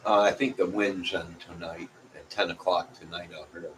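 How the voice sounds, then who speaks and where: A man's voice: deep voice, steady tone, normal speed. A middle-aged or older man chatting casually to viewers in a folksy, rambling way, often repeating himself. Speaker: vlogger. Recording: clear background noise.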